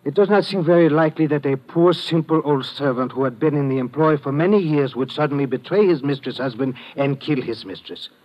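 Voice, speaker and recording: A stern police lieutenant played by a male actor in a 1950s radio drama, foreign and very formal. His voice is on the high side and he words everything precisely. The sound is a dull old broadcast. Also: fairly quick, hardly any pauses, natural voice. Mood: grave. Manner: precise, formal speech without contractions.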